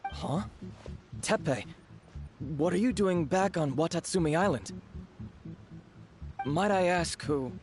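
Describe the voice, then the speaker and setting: An actor playing a polite, dutiful and deferential resistance officer in a video game, speaking expressively. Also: male voice, on the high side, slow pace, long pauses, over music. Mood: surprised. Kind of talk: scripted video game dialogue.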